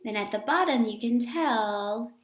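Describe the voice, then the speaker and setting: Higher-voiced woman reading aloud in echoey room. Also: unhurried. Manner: expressive, explains to children in simple words. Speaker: elementary school teacher. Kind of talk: picture book read-aloud for young children with explanatory asides. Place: indoor room with echo.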